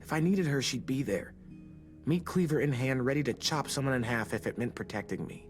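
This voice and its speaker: A charming teenage boy played by a man talks in an American accent, hesitant and self-deprecating. The delivery is wry, natural video game acting. There is soft music behind the voice. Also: medium pitch, brisk, lightly acted. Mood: fond, earnest.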